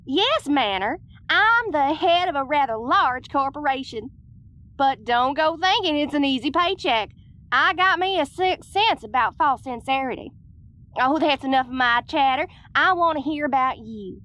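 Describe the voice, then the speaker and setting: A woman's voice: high-pitched, animated, moderate pace, bright, forceful tone. A woman playing a folksy, chatty alien widow and business boss with a Southern US accent, in an audio roleplay. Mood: friendly, flirty. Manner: folksy, chatty, self-assured.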